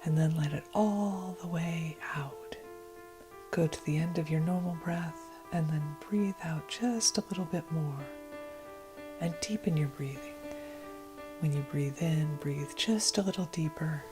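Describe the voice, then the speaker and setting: Low-pitched woman leading a gentle guided meditation. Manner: gentle, unhurried, breathy and often near whispering. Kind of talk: guided meditation, slow soothing narration. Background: meditation music.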